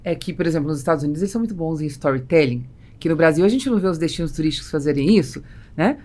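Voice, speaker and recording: A woman's voice: low voice, lively intonation, very fast, dark tone. A Brazilian woman hosting a business podcast, explaining her opinions in a conversational way. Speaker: podcast host and interviewer.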